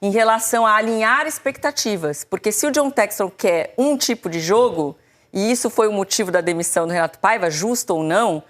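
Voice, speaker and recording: A woman's voice: mid-range voice, lively intonation, brisk. A woman, presenting and summarizing football news in a studio discussion. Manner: explains at length, summarizing and paraphrasing. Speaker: sports TV presenter.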